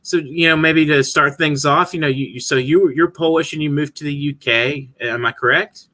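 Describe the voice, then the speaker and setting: A man's voice: fairly high pitch, moderate pace, sharp tone. A male host asking long, rambling interview questions padded with fillers and restarts. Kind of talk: casual interview.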